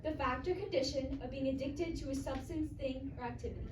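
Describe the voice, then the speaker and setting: A woman's voice: high-pitched, steady tone, a little fast. A young woman giving a theatrical readers theater recitation. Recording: echoey room, distant mic, faint noise.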